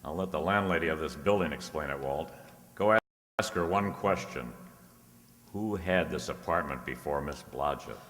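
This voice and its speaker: Man playing a cool, bottom-deep detective, unhurried and wry, radio crime drama recorded in an echoing hall. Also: a bit bright. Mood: shrewd, deducing. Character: cool, shrewd, wry male private detective. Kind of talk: detective radio play acting.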